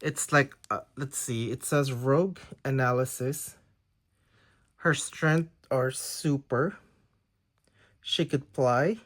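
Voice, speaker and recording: A man's voice: medium pitch, fairly even intonation, takes it slowly, pauses often, a bit bright. A man whose first language is Tagalog talks casually through a pile of trading cards, often unsure of the details. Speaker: trading card collector. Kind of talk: casual show-and-tell of a card haul.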